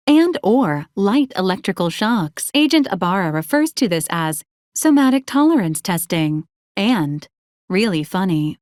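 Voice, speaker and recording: A woman voicing a polite, literal-minded ship robot in a video game, giving formal status reports in a clinical, deadpan manner with dry menace. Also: medium pitch, no room sound, lightly acted.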